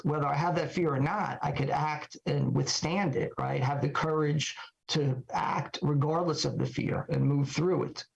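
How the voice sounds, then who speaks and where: A middle-aged man, hoarse while his voice recovers, talking reflectively on a podcast in a halting way full of fillers and questions to himself. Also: a little high. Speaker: trial lawyer podcast guest. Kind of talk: reflective solo talk. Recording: dull lo-fi podcast audio.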